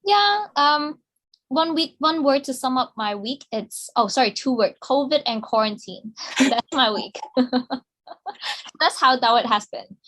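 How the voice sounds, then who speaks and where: A high-pitched woman with a bright, sharp tone co-hosts a casual podcast. She giggles often and makes light of herself. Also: expressive, a little slow, a little hiss or hum. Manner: giggly, self-deprecating. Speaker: podcast co-host.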